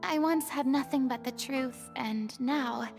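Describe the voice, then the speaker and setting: A woman voicing a weary, theatrical young woman in a video game, speaking softly and wistfully. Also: high voice, a little slow, creaky, music in the background.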